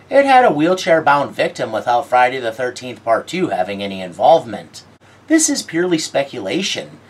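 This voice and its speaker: Woman host, low and husky, wildly animated intonation, dry sardonic reviewer. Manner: wry, sardonic, theatrical delivery. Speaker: horror film reviewer. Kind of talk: scripted video-essay commentary.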